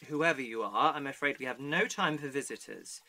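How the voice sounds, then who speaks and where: A man's voice: medium pitch, a little fast, sharp tone, close to an everyday voice. A man playing a fussy, officious botanist in an audio drama, formal and stiff but easily flustered. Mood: officious, indignant. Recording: music behind the voice.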